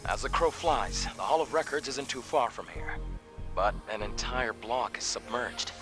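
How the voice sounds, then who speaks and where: An actor playing a calm, reassuring male survivor who guides others, in video game cutscene dialogue. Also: medium pitch, normal speed, close to an everyday voice. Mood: steady. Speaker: game character. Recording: game audio with music behind.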